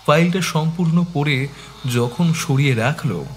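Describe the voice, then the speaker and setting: A man's voice: fairly high pitch, measured pace, a little hiss or hum, natural voice. A man narrating a dramatised detective audio story as the detective's attentive companion. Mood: measured. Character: attentive male companion of a detective, telling the case.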